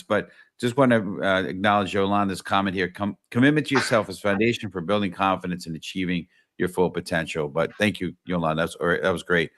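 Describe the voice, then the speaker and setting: A man's voice: on the low side, a bit bright. A man hosting a livestreamed interview, thanking his guest enthusiastically. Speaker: host.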